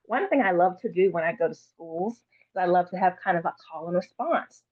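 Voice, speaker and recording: A woman's voice: fairly low pitch, expressive, a little fast. Woman reading aloud, thin phone-like sound. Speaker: children's author. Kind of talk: read-aloud storytelling and informal introduction.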